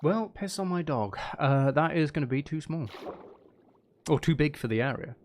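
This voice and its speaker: A man's voice: fairly high pitch, animated, moderate pace, slight background noise. A man giving casual gameplay commentary, muttering to himself in a playful, self-deprecating, sweary way. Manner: mutters and counts aloud to himself. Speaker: streamer.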